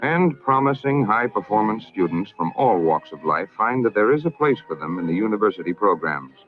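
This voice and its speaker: An American man narrating a scripted 1960s public information film in a formal, measured, emphatic manner. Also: fairly low pitch, sing-song. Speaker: documentary narrator. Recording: narrow-band vintage film soundtrack, music behind.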